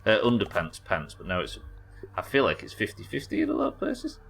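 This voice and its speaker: A man's voice: fairly low pitch, huge pitch swings, fairly quick, gravelly, fairly bright tone, husky. A young British man hosting a live gaming stream, casually rambling and reading chat messages aloud as he plays. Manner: rambling, reads chat messages aloud and muses over them. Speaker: streamer host.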